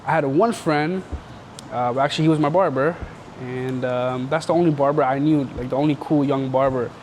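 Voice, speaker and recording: A man's voice: medium pitch, normal speed, slight background noise. Rambling, slangy young man. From Canada. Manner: rambling and slangy, full of filler words. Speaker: entrepreneur telling his story. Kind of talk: casual storytelling interview.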